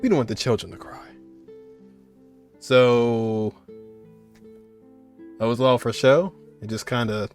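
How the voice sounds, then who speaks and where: A man's voice: medium pitch, slow, pauses often, a little mellow, faint music behind. Casual slangy man reacting to a game. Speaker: gaming streamer.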